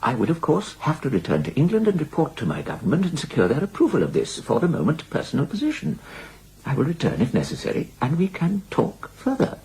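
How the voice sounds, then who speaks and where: A man's voice: fairly high pitch, huge pitch swings, quick pace, warm tone, husky, heavily acted, low-quality recording. A man playing a courteous elderly British prime minister in a radio drama, speaking formally and with care. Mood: earnest, troubled. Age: older. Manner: formal, careful.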